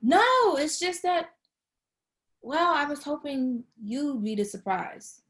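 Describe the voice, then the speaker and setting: A woman's voice: high voice, big pitch swings, takes it slowly, fairly bright tone, heavily acted. An actress at a table read playing a playful, needy girlfriend in a relationship comedy-drama. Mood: disappointed. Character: playful, needy, broke long-distance girlfriend.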